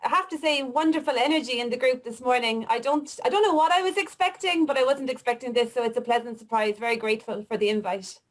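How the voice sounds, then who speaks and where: A woman's voice: a little high, fast talker, hardly any pauses, poor audio. A woman in her forties from Ireland with a Limerick accent, telling personal stories casually as an interview guest. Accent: Irish, Limerick. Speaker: interview guest, wellness coach.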